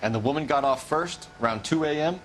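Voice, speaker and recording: An actor playing an earnest young police detective, clipped and formal, in a 1940s crime drama game. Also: male voice, a little high, brisk, slight background noise, natural voice. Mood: businesslike.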